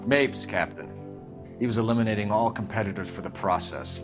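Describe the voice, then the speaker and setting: Actor doing terse detective guy in a game, lowish voice, just the facts, music behind. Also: a little fast, natural voice. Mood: matter-of-fact. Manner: clipped, factual reporting. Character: terse, matter-of-fact male police detective. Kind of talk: dramatic video game acting.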